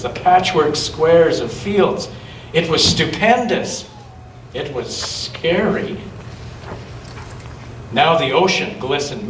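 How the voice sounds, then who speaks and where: A man with a fairly high voice tells a story for children in an expressive, measured way. He takes his time over each phrase. The sound is roomy, picked up from some distance in a church space. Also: a little hiss or hum. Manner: slow, measured phrasing with chatty asides to children. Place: large indoor church room.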